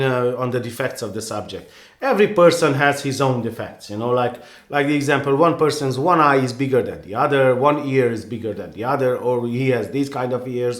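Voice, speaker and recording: A man's voice: mid-range voice, fairly bright tone. Earnest Hungarian-accented man in echoey room. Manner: earnest, rambling, many restarts and "you know"s. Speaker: photographer giving advice. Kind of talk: casual advice vlog.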